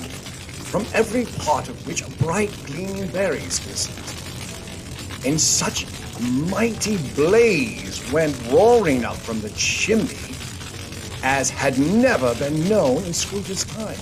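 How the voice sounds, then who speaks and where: Slow, dramatic male narrator with swooping delivery. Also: fairly high pitch, lively intonation, low-quality recording. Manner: theatrical, deliberate phrasing. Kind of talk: dramatic storybook narration for radio play. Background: music.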